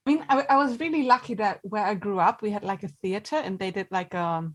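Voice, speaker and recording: A woman in a casual interview as an actor guest, talking conversationally in halting, hesitant phrases. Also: mid-range voice.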